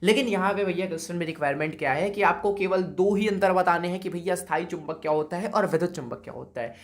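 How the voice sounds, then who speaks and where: A man's voice: high-pitched, quick pace. A man with a sore throat, teaching physics exam answers in a chatty way, often checking in with students and calling them brother. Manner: chatty, repeats "okay" and calls students "brother". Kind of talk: exam-prep lesson.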